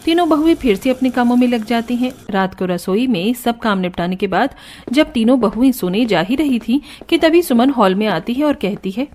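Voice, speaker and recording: A woman narrating an animated story in a smooth, even storytelling manner. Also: fairly high pitch, normal speed.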